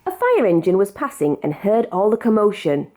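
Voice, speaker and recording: A woman with a British accent reading a children's picture book aloud in an expressive, measured storytelling manner. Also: medium pitch. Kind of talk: read-aloud storybook narration.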